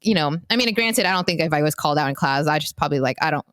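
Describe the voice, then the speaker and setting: A woman's voice: sits low, expressive, very fast, a bit bright, no room sound, clean studio sound. A young adult woman from the United States co-hosting a podcast with her siblings, talking in loose, rambling sentences full of fillers and restarts. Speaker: podcast co-host. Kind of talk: casual chat among siblings.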